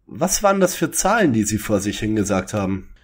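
A man's voice: lowish voice, big pitch swings, a little fast, slightly rough. A man playing a practical, eager and wry young detective sidekick in a parody audio drama. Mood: brisk, practical.

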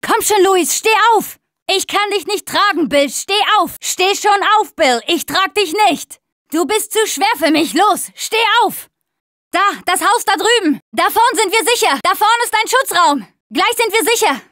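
A young female zombie-apocalypse survivor from a video game, with a high voice. She talks tough but cares for her team, and delivers her short lines at an unhurried pace. The sound is dry and close. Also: expressive, fairly bright tone, no room sound, heavily acted. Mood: urgent, insistent, then urgent, hopeful.

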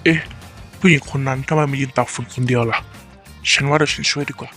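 A man's voice: mid-range voice, steady tone, fast, pushed and bright, lightly acted. A man voicing a kind young man who helps a woman caught in the rain in a life-sim game. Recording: faint music behind.

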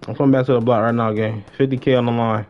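A man's voice: mid-range voice, level tone, fast. A young American man in casual, slangy livestream gaming chat, repeating short phrases. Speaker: livestreamer playing a roleplay game. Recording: dull, noisy game stream mic.

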